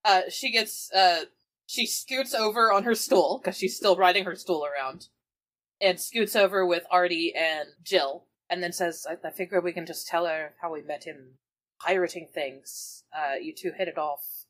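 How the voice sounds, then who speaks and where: A woman's voice: on the low side, normal speed, a bit bright. A woman casually improvising narration and dialogue as a player in a tabletop roleplaying game, informal and conversational.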